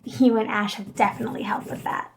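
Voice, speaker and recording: A woman's voice: a little high, steady tone, brisk, vocal fry, background noise. An actress playing a sheltered but defiant, tender teenage girl in an audio drama, with an earnest, hesitant manner. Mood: playful, shy. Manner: hesitant, earnest, stammering when flustered.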